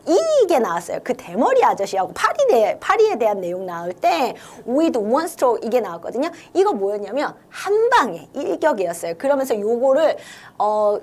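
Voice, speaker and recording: A woman teaching an online English lesson, walking young students through a story line by line and coaxing them to think along. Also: on the high side. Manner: lively, coaxing, talks to students directly.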